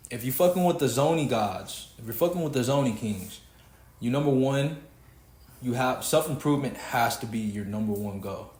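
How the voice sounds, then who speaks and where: A man's voice: mid-range voice, darkish tone. Preachy young Texan man in an echoey room. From Houston, Texas. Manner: preachy, emphatic, swearing freely. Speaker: podcast host. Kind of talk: casual podcast rant.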